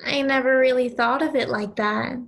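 A woman's voice: high-pitched, fairly even intonation, normal speed, vocal fry. A woman playing a kind, earnest young girl in an audio play, with a sincere, hesitant, childlike manner. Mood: shy curiosity.

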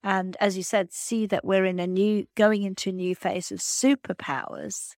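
Woman host, mid pitch, measured and affirming, dry close sound. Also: little pitch movement, a little mellow, no room sound. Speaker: podcast host. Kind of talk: interview conversation.

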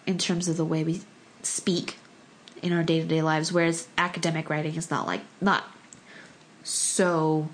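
A woman from the United States whose first language was Korean, talking in a relaxed conversation, thoughtful and full of small restarts and fillers. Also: deep voice, slight background noise, poor audio. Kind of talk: casual conversation.

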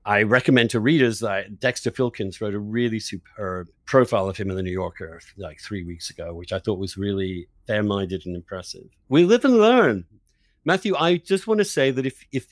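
Low-voiced man hosting a candid discussion. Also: no room sound. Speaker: podcast host interviewing an author. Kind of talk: opinionated political conversation.

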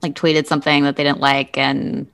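A woman's voice: low voice, level tone, normal speed, fairly bright tone, clear, resonant tone. A woman co-hosting a podcast, talking in a casual conversational way with a panel. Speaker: library podcast co-host. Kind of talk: casual panel chat, introducing a news item.

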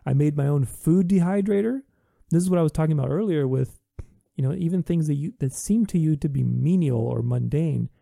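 A man's voice: on the high side, fairly quick, gravelly, mellow, dry recording, studio quality. A man hosting a podcast, talking earnestly in a reflective solo monologue, with many restarts. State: recovering from voice loss.

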